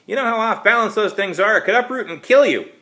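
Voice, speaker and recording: A man voicing a brusque, sharp-tongued woman psychic in her late sixties for a short story. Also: high voice, lively intonation, quick pace, pitched above the performer's own voice. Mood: angry yelling.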